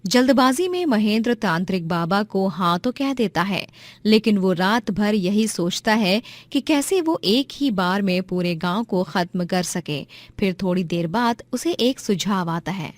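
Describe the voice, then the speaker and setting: A woman's voice: medium pitch, animated, measured pace, no echo. A woman narrating a scripted moral story as a storyteller.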